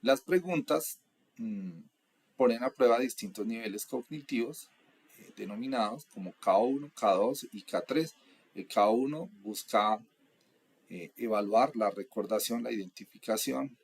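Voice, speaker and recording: A young adult man from Colombia, explaining course material in a steady, methodical lecture. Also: fairly low pitch, normal speed, creaky, husky. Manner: steady, methodical, lists items one by one. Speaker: instructor.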